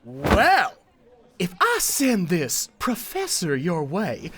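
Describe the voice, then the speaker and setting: A performer voicing a flustered, cheerful male professor who stammers and is eager to please, in an animated comic fandub. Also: medium pitch, slow pace, a little hiss or hum, heavily acted. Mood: chirpy, eager. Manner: stammering, nervous laughs.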